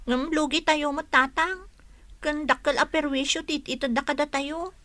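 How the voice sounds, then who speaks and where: Radio play: farmer's earnest young child, high, bright and worried, dry sound with music. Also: female voice, steady tone, normal speed, no echo. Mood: worried, protesting. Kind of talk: radio drama acting in Ilocano.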